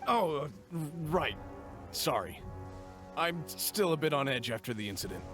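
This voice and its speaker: Casting: jumpy video game merchant, pleading family man, animated delivery on the high side. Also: unhurried. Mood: shaken. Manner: nervous, pleading. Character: jittery, frightened male merchant, a family man. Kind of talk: English video game voice acting. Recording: game music behind the voice.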